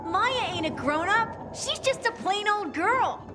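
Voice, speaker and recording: Game casting: a mocking young girl, high-pitched and animated, blunt and cheeky. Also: takes it slowly, very noisy, heavily acted. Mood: cheeky, defiant. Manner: sassy, blunt, slangy. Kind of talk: video game cutscene acting.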